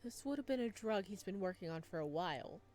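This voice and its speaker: A woman voicing a calm, stern interrogator in a visual novel, curt and probing. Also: mid-range voice, fast, slight background noise, natural voice. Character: calm, stern, cold-eyed interrogator visiting a prisoner.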